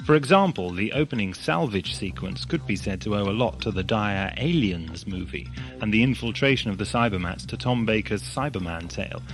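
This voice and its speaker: A man's voice: lowish voice, expressive, fairly quick, soft background music. A man reading scripted letters aloud on a magazine programme. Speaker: reader of listeners' letters.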